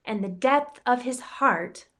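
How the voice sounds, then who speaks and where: Slow, playful woman reading a rhyming storybook. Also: a little high. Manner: playful, expressive rhyming delivery with voiced quotes. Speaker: campus ministry director reading a picture book. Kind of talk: storybook read-aloud in rhyme.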